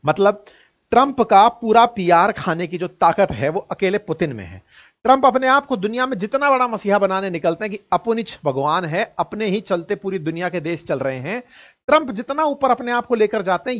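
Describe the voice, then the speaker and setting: A man's voice: high-pitched, highs cut off. An Indian man giving informal, colloquial news commentary with a mocking edge, often putting rhetorical questions straight to his listeners.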